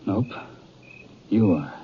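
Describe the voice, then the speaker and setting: Low-voiced, cold American outlaw. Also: male voice, slow pace. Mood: cold menace. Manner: terse, flat menace. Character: cold, domineering killer outlaw, ordering his brother. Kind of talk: 1950s radio western acting. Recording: dull, noisy old radio recording.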